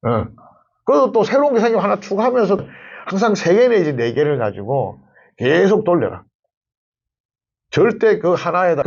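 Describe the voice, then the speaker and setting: A middle-aged man speaks in a husky, gravelly voice with an animated rise and fall. He gives conversational advice like an instructor, leaning on key phrases and repeating them. The sound is a little muffled and lo-fi. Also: fairly high pitch. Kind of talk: conversational advice to camera in an interview.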